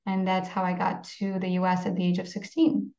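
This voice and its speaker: A woman's voice: lowish voice, monotone, a little fast, gravelly, warm tone. Businesswoman in her 40s, candid, home office, dull roomy call audio. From Poland. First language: Polish. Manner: candid, dry humor, frequent "uh" fillers. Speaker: guest, company CEO. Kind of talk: casual interview conversation. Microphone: video call.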